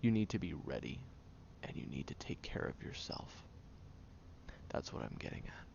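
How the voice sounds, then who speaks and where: A man playing a guarded, prickly young tsundere caring for a sick friend in an intimate audio roleplay, speaking haltingly, stern yet caring, often hushed. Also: low voice, sing-song, creaky, muffled recording, background noise, heavily acted. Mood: reluctant, firm, then hushed, concerned.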